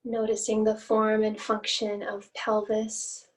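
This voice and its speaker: A woman leading a guided meditation as an instructor, with gentle, inviting phrasing and long pauses, recorded at some distance from the mic. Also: medium pitch, completely flat delivery.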